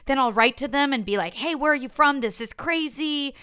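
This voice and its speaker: A woman's voice: high-pitched, fairly quick, pushed and bright. A woman from Canada whose first language is French, chatting as a podcast guest, bubbly and laughing often. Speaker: podcast guest, stage performer. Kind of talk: casual chat.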